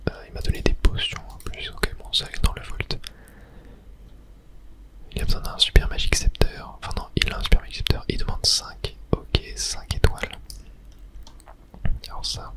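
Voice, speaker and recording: A person whispering casual, hesitant ASMR commentary while playing a video game, in a soft unvoiced whisper. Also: moderate pace, pauses often. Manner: casual, hesitant thinking aloud. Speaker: video game streamer narrating own play.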